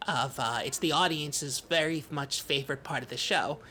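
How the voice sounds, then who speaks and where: A performer playing a needy, wounded, childlike podcast host, talking in a halting, earnest, hesitant way in improvised comedy. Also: mid-range voice, sharp tone. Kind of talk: improvised deadpan comedy talk. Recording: noticeable background noise, lobby ambience.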